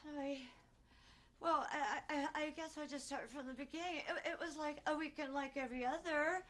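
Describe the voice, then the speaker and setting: Actress doing distraught grandma monologue, high pitched n sharp, halting, keeps repeating, swears she's innocent. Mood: dazed, hurting. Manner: halting, stammering, repeating herself. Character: distraught older grandmother convicted of murder, protesting innocence. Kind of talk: dramatic stage monologue.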